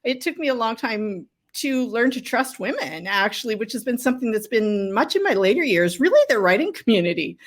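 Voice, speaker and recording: A woman's voice: fairly high pitch, sing-song, a little fast, hardly any pauses. A middle-aged woman talking casually and enthusiastically as a guest author in an interview. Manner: effusive, enthusiastic. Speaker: guest novelist and writing coach.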